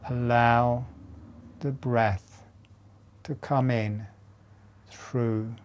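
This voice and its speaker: A man leading a guided breathing meditation as an instructor, in short, measured phrases with long pauses. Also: mid-range voice, steady tone, slight background noise.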